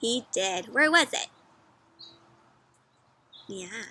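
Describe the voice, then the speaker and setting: A woman doing an interactive read-aloud for young children, expressive and encouraging, often putting questions to her listeners. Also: a little high, drawn-out words. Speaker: children's storybook read-aloud host and teacher.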